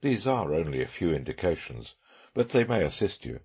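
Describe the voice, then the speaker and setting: A man voicing a brilliant, self-assured male detective with dry, measured sarcasm in an audiobook. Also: low-pitched, big pitch swings, vocal fry, darkish tone, breathy edge, pitched below the performer's own voice, exaggerated voice. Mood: confident, brisk.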